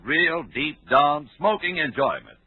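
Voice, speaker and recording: A man's voice: on the high side, animated, unhurried, breathy edge, no room sound, phone-quality sound. An American man delivering an emphatic vintage radio commercial as announcer, on an old broadcast recording. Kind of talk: 1940s radio ad read.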